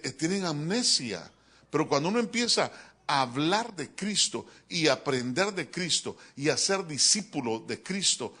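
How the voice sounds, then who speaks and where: A male pastor delivering a sermon in a church, talking to his congregation in an animated, joking way. His voice sits a little high and moves constantly up and down. It sounds bright and forceful throughout. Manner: animated, joking, keeps calling listeners "hermano".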